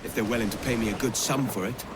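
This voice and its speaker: An actor playing a brash, hard-bitten, mercenary pirate captain in a video game cutscene. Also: male voice, medium pitch, quick pace, heavy background noise, close to an everyday voice. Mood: defiant. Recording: dramatic score behind the voice.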